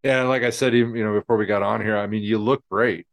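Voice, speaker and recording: A man hosting an interview, relaxed and supportive, with lots of fillers. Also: lowish voice, fast. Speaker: podcast host. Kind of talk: casual interview.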